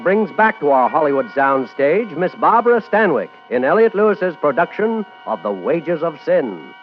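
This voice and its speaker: A man's voice: a little high, very animated, normal speed. Dramatic old-time radio announcer, American man. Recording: muffled vintage radio broadcast, music behind.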